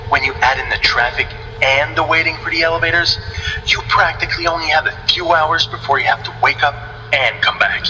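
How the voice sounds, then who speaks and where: A man's voice: medium pitch, bright, forceful tone. A man playing a wry, weary animation studio employee in a video game audio log, with a dryly sardonic delivery. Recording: distant, long reverb, faint noise.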